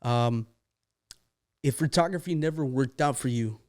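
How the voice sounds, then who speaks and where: Chatty male podcast host, mid pitch. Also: a little slow. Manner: upbeat, chatty, repeats guest's answers. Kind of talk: casual interview chat. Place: podcast studio.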